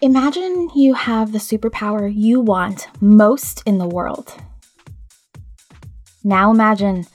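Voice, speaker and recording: A woman hosting a podcast, reading scripted narration in a measured, articulate way. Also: mid-range voice. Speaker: podcast host.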